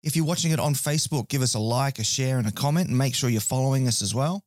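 A man hosting a barbecue podcast, a little hoarse from a cold, running through announcements in a chatty, upbeat way with self-mocking jokes. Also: medium pitch, quick pace, a little mellow, no room sound, studio quality. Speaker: podcast host. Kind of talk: podcast intro and sponsor announcements.

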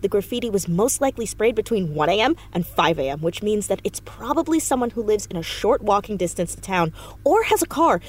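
A woman's voice: medium pitch, a little mellow, close to an everyday voice. A woman acting a confident, precocious fourteen-year-old American girl detective in an audio drama, earnest and articulate. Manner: earnest, articulate, rattles off deductions in long sentences.

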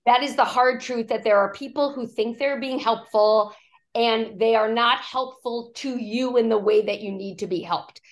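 A woman executive coach, hoarse from a cough, talking in a podcast interview and acting out quoted speech as she tells stories. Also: a little high, normal speed. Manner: animated storytelling, acts out quoted speech.

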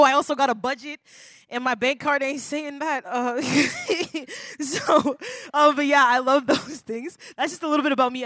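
A young adult woman pastor giving an energetic, playful youth workshop talk, slangy and laughing often. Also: high voice, no echo, studio quality. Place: conference room with youth audience.

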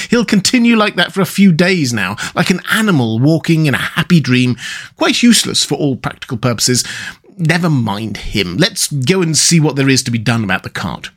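A man voicing a firm, exasperated male water rat with a brisk, no-nonsense way of talking. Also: fairly high pitch, fairly bright tone.

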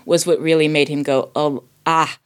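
A woman's voice: low voice, flat delivery, normal speed, clear, resonant tone. A woman casually discussing a film, thinking aloud and often correcting herself as she goes.

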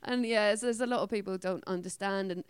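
A woman's voice: mid-range voice, quick pace, clean tone, dry recording, clean studio sound. A woman from Iceland whose first language is Icelandic, speaking English with an Icelandic accent in casual chat, laughing often. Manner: laughs often, quotes herself in a raised voice.

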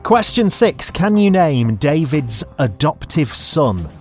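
An upbeat man hosting a quiz. His pitch moves around a great deal as he asks questions. Faint music plays underneath. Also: sing-song, slow pace, a little mellow. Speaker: quiz host.

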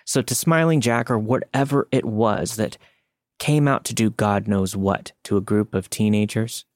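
A man's voice: lowish voice, normal speed, no room sound. A man reading a scary first-person story as scripted podcast narration.

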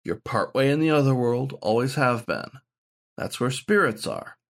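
A man's voice: medium pitch, big pitch swings, normal speed, gravelly, voice pushed up. A man voicing a frank badger shaman who mentors in a blunt, informal, explanatory way. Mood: reassuring.

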